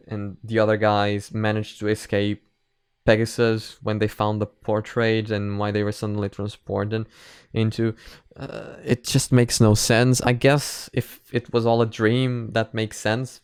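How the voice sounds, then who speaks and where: A man's voice: fairly low pitch, clear, resonant tone, no room sound, clean studio sound. A man hosting a reaction video, giving casual commentary and thinking aloud in a hesitant, repetitive way.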